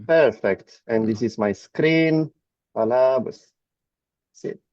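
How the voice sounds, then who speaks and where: A man's voice: mid-range voice, slow pace, darkish tone, dry recording. A man with an Italian accent, a medical oncologist lecturing over a video call, hesitant with frequent fillers and restarts. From Italy. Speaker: medical oncologist presenting a webinar. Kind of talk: academic webinar lecture. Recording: dull lo-fi call audio.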